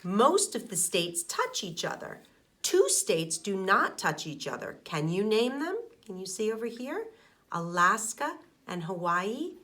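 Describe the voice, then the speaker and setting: A middle-aged woman, a schoolteacher, reading a picture book aloud to young children and explaining it in simple, deliberate phrases with questions to the listeners. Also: mid-range voice, sing-song, a bit bright. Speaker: elementary school teacher.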